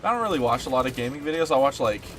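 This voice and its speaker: A man's voice: medium pitch, lively intonation, very fast, a bit bright, slight background noise. A young man streaming a game, chatting casually in a rambling, sweary way and sounding a bit stuffed up. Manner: rambling and sweary, lots of fillers. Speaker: gaming streamer. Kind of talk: casual chat while playing a game.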